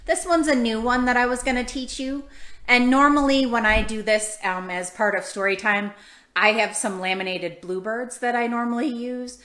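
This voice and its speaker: A woman's voice: fairly high pitch, steady tone, normal speed, fairly bright tone. Woman reading storytime in an echoey room. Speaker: children's storytime host and librarian. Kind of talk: reading a picture book aloud to children, with chatty asides.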